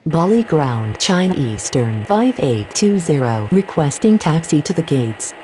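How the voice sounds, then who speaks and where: A woman acts a female air traffic radio voice in a game. Her pitch is low with a lively, moving contour. She delivers set phraseology over clear background noise. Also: measured pace, rough recording. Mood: procedural. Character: female airline pilot or controller on radio.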